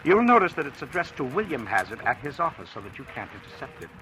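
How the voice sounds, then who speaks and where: An actor playing a smooth, menacing blackmailer who is mockingly polite and taunting, in a 1940s radio drama style. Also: male voice, mid-range voice, big pitch swings, bright, forceful tone, a little hiss or hum. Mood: threatening, silky. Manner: suave, taunting, deliberate drawn-out politeness. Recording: old radio drama recording, music underneath.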